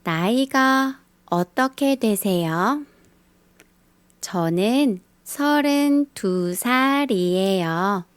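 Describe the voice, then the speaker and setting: A woman teaching a beginner language lesson, speaking in a slow scripted style and carefully repeating the example phrases. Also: mid-range voice, lively intonation, clean tone, no room sound. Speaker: Korean teacher.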